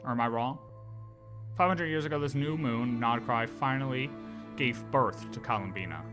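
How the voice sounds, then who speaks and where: A man livestreaming in a casual, animated way, talking through lore with viewers, repeating phrases and often checking that they follow. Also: mid-range voice, moderate pace, music in the background. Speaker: streamer.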